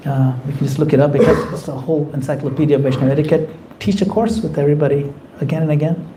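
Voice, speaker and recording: A man leading a devotional class, answering questions from the group in an earnest, gently advising way. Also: fairly high pitch, fairly even intonation, fast, noisy background. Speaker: class leader taking questions. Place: community temple class.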